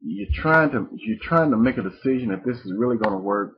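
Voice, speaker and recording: A man's voice: on the low side, lively intonation, brisk, slight background noise. A man from Mississippi, presenting a live webinar in a rambling, folksy way with restarts. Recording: muffled lo-fi webinar audio.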